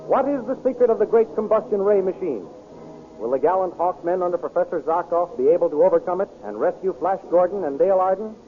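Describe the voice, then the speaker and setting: A man announcing in 1930s radio style, brisk and enthusiastic. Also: high-pitched, lively intonation, soft background music. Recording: tinny 1930s radio transcription with hiss.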